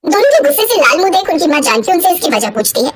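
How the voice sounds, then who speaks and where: A woman's voice: sits high, big pitch swings, rapid-fire. A woman reading scripted storybook narration for a cartoon. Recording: clear background noise.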